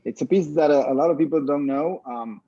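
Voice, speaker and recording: A man from Venezuela talking casually as a musician being interviewed, pausing often mid-sentence. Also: dark tone. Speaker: orchestra violinist, interview guest. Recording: dull, roomy low-fidelity sound.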